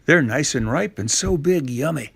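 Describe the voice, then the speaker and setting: A man's voice: on the high side, expressive, normal speed, creaky, fairly bright tone, slightly rough, no room sound, heavily acted. A man voicing a sweet, innocent little girl in a storybook tale, with an eager, childlike, exclaiming manner. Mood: delighted.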